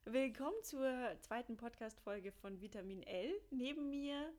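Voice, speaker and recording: A woman's voice: on the high side, measured pace, soft background music. Chatty young woman hosting a podcast. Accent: Bavarian. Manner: warm, gushing, chatty with many fillers. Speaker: podcast host. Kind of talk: casual podcast interview.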